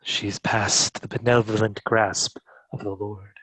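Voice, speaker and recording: A man playing a pious, quietly menacing medieval inquisitor in a dramatic script read, measured in manner. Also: fairly low pitch, flat delivery, breathy edge, no echo, rough recording. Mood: pious, pitying.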